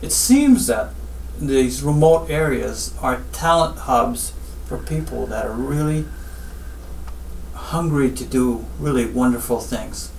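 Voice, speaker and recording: A middle-aged man from Guyana, a surgeon giving advice in an interview in measured, deliberate short phrases with pauses. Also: medium pitch, lively intonation, gravelly. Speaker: spine surgeon, interview guest. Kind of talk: interview answers, health advice. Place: small office, seated at desk. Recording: roomy, distant mic, faint background noise.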